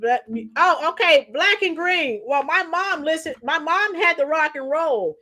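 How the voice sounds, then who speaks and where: A middle-aged woman with a hoarse, tired voice chatting casually with livestream viewers, reading out comments and singing bits of songs. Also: high voice, animated, unhurried, sharp tone. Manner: chatty, reads out comments and sings along to songs. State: hoarse, losing her voice. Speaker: livestream host.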